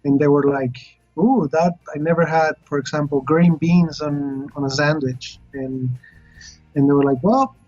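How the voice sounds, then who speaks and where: A man from Chile, a chef talking casually as a guest and often pausing to search for words. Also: fairly high pitch, steady tone, a little mellow, soft background music. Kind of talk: casual interview chat. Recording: dull lo-fi recording.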